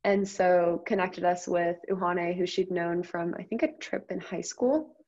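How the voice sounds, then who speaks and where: A woman's voice: lowish voice, measured pace, a little mellow. A 23-year-old American woman from the Midwest, telling a story casually as a guest. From Iowa and Ohio, United States. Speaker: interview guest. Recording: dull lo-fi audio.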